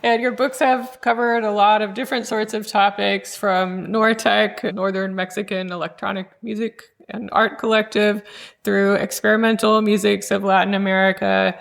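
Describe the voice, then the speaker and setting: A woman's voice: medium pitch, flat delivery, normal speed, creaky. A woman interviewing as a fellow researcher, conversational and given to telling anecdotes.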